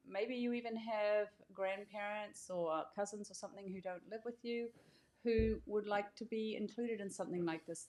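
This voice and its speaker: A woman's voice: mid-range voice, darkish tone. An older woman speaking as an author and host, casually explaining things in an encouraging, inviting way. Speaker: author hosting a welcome video.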